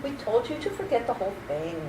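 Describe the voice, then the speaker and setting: A woman playing a sweet, serenely batty elderly aunt in a radio play, genteel and gently scolding. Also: on the high side, big pitch swings, quick pace, recorded from a distance. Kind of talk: old-time radio play acting. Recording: echoey room, off-mic, slight noise.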